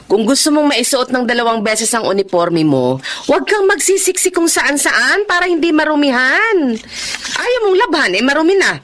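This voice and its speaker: A woman's voice: sits high, very animated, moderate pace, a bit bright. An actress playing a weary working-class mother who scolds her children in a radio drama. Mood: scolding.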